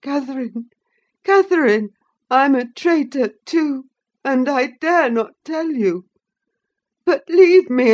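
A woman's voice: a little high, a little mellow, highs cut off, pitched above the performer's own voice. A woman voicing a sickly, frightened teenage boy who sobs and begs. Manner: sobbing, begging, trembling.

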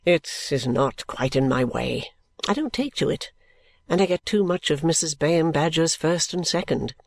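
A woman voicing a careless, easygoing and affectionate young gentleman in an audiobook, with a breezy, musing manner. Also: low voice, lively intonation, normal speed, gravelly, no room sound, pitched below the performer's own voice, exaggerated voice. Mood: musing, half joking. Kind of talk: audiobook character dialogue.

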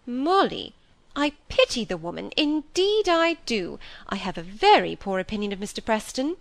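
A woman's voice: medium pitch, big pitch swings, moderate pace, a bit bright, dry recording, voice pushed up. A woman voicing a stern, bossy older spinster in a storybook, speaking in an emphatic, sententious way. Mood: warning. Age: middle-aged or older.

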